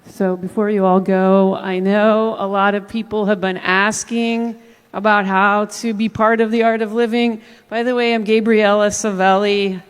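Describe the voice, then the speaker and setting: Smooth-voiced woman announcing in a hall. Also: medium pitch, flat delivery, moderate pace. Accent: foreign to Jamaica. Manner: hesitant, with many fillers. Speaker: event organizer making announcements. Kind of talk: public announcement. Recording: long hall reverb.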